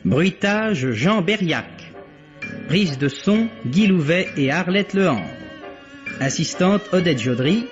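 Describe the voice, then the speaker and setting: A man solemnly reading scripted credits as a radio drama announcer, with deliberate, formal diction. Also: sits high, animated. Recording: dull vintage radio, loud music. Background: prominent theme music.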